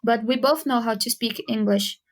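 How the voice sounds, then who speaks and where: A woman from Brazil, a student guest on a podcast, speaking English with a Brazilian accent and pausing to find her words. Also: fairly high pitch, fairly even intonation. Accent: Brazilian Portuguese. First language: Portuguese. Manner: searching for words, halting. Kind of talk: casual podcast chat.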